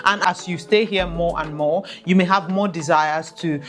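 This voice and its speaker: A woman's voice: fairly low pitch, fairly even intonation, normal speed, soft background music. A woman speaking earnestly and directly to viewers as she gives practical advice in a vlog. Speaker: expat vlogger giving advice.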